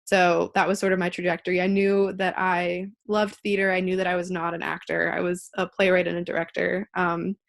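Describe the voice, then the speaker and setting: A woman's voice: fairly low pitch, flat delivery, a little fast, vocal fry, few pauses. A woman in her twenties with an American accent, answering interview questions conversationally as a playwright. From Pittsburgh, United States. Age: 20s.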